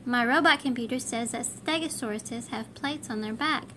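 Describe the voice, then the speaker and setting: A woman's voice: fairly high pitch, normal speed, pushed and bright, a little hiss or hum. A woman voicing a friendly, helpful little robot who announces things in a children's storybook.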